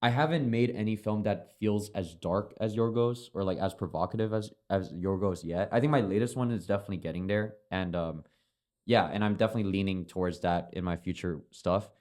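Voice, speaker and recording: Chatty lower-voiced man with Chinese roots. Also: a little fast, a little mellow. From China. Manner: rambling, filler-heavy, swears casually. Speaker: filmmaker guest. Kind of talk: casual podcast conversation.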